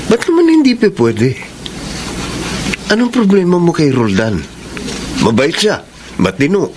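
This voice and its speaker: An actor playing a frank, caring friend in a Filipino radio drama, direct and persuasive. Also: male voice, fairly high pitch, wildly swooping pitch, unhurried. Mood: concerned, urging. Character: frank, caring male friend giving love advice. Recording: clearly noisy radio drama recording.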